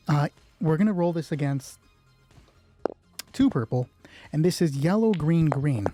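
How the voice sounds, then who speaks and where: A man's voice: fairly high pitch, warm tone, no room sound. A man casually narrating an improvised solo roleplay game, thinking aloud and swearing offhandedly.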